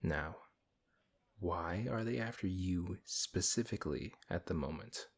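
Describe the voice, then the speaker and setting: A man playing a big, good-hearted, exasperated paladin in a fantasy audio roleplay, flustered and questioning, with a husky voice. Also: sits low, a little slow. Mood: concerned, probing.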